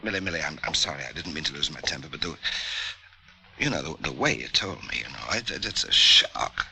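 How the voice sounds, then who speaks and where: An actor playing a fussy, unctuous middle-aged doctor with hidden menace, genteel and halting in the mannered style of old-time radio drama. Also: male voice, a bass voice, animated, creaky, sharp tone, breathy edge, full character voice. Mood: pleading. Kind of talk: old-time radio drama acting, first-person narration and dialogue. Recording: vintage radio drama with music bed.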